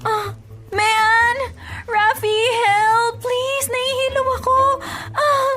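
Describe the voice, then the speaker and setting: A woman's voice: extremely high pitch, level tone, slow, over loud music. A woman playing a flirty, bold single office worker in her twenties, with playful, theatrical radio drama delivery. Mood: melodramatic panic. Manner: playful, theatrical, Taglish banter.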